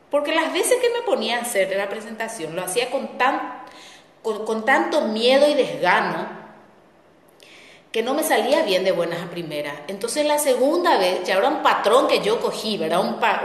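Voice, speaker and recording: A middle-aged woman telling a personal story as a spiritual talk host, reflective and repeating words for weight. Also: mid-range voice. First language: Spanish. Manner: reflective, warm storytelling with repetitions. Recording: echoey room with long reverb.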